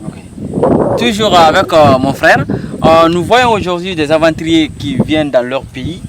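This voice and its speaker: Male interviewer, courteous and halting, outdoor wind noise throughout. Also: a little high. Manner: polite, with many hesitation sounds.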